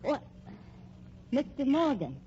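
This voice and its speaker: A woman's voice: high-pitched, very animated, takes it slowly, mellow, exaggerated voice. An actress playing an indignant, calculating young American woman in an old radio crime drama, haughty and protesting. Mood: defiant. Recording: dull old radio recording, faint hum.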